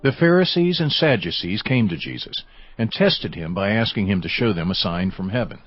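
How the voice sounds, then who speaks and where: A man's voice: on the low side, lively intonation, moderate pace, muffled recording, faint music behind. A man giving scripted narration for a dramatized audio Bible.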